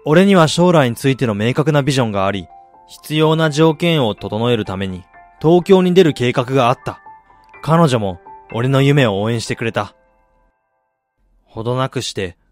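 A man acting in a radio drama as a driven businessman and husband, telling his life story in a measured, reflective way. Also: medium pitch, animated, lightly acted. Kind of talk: radio drama monologue, literary narration. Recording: music bed behind the voice.

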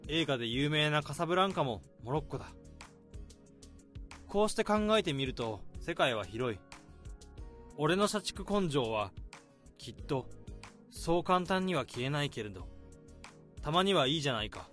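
A weary office worker turned sleuth. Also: male voice, fairly high pitch, big pitch swings, a bit bright, faint music behind. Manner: reasoning, measured and reflective. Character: weary overworked male office worker who plays detective. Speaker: narrator and protagonist office worker. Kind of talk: radio drama narration.

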